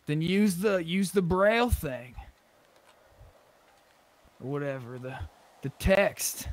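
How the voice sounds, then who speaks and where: A man's voice: a little high, expressive, slow. A man playing an online roleplay game, chatting casually with a bantering, mock-tough manner and some swearing.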